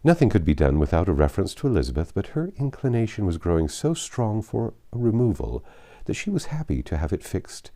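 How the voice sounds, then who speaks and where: A man reading a classic novel aloud as an audiobook narrator, in an expressive scripted style. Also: fairly low pitch, a little fast.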